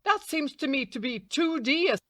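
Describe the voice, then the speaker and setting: A woman's voice: high voice, lively intonation, moderate pace, a bit bright, dry recording, clean studio sound, pitched above the performer's own voice. A woman voicing a little male sparrow in a storybook, an insistent, pert haggler. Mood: bargaining. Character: male talking sparrow, eager little haggler turning fierce.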